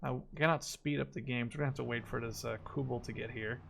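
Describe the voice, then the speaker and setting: A man's voice: mid-range voice, steady tone, fast talker, vocal fry, a bit bright, slight background noise. A man in his twenties, a native Dutch speaker, giving casual gameplay commentary. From Canada-based, Dutch. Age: 20s. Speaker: gaming video creator. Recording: game gunfire behind the voice. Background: strategy game battle sounds and gunfire.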